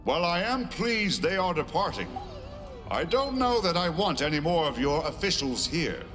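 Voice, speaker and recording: A man acts out an otherworldly being for a game cutscene. The voice is fairly high and widely inflected, solemn and unhurried. Long reverb surrounds it, with music underneath. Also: animated, lightly acted. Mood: calm, measured. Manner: slow, solemn, deliberate. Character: calm, otherworldly being embodying a whole world. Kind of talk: scripted video game cutscene acting.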